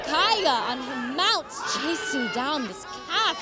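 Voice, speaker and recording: A woman's voice: high voice, lively intonation, takes it slowly, over a noisy crowd. A woman playing a mean, mocking warrior sidekick in a fantasy audio drama, sneering and jeering. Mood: boastful. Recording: prominent music behind.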